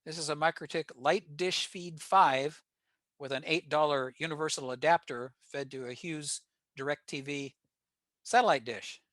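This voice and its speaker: A man's voice: fairly high pitch, unhurried, fairly bright tone, no echo. An American man giving an informal technical slide presentation to a club. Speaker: presenter at a ham radio club meeting.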